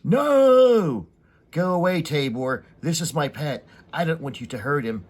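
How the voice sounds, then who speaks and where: A man's voice: on the high side, animated, unhurried. A man voicing a playful giant child from a comic story, eager, coaxing and protective of a pet. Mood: protesting.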